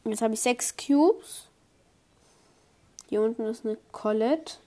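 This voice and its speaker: A young woman commenting on her own online match, excitable, swinging from low muttering to high squeals. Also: slow. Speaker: gamer streamer. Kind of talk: live gameplay commentary.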